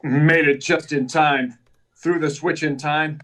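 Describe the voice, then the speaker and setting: A stalwart superhero of old-time radio, played by a man, speaking in a fairly high male voice a little way from the mic. He is self-assured and declamatory, talking himself through each feat. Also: fairly even intonation, slow, a bit bright, distant mic. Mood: triumphant. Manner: declamatory, self-assured, narrating his own actions. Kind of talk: 1940s radio serial acting.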